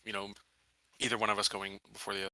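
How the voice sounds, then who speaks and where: A man's voice: fairly low pitch, level tone, rapid-fire, bright, forceful tone, no room sound, poor audio. A man playing a tabletop game, chatting casually about his moves.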